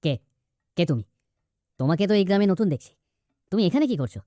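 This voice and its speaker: A man's voice: high-pitched, very animated, fast talker, warm tone, no room sound. A man voicing a haughty, hostile villager in a cartoon, curt and scornful. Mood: suspicious. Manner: curt, scornful, repetitive orders. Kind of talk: cartoon voice acting.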